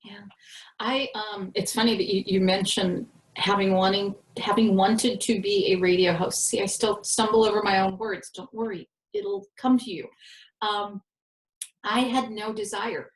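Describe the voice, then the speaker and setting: A woman and network host from Southern California, telling stories in a self-deprecating, animated way with a somewhat nasal voice. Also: medium pitch, unhurried. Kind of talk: casual conversation. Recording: roomy echo.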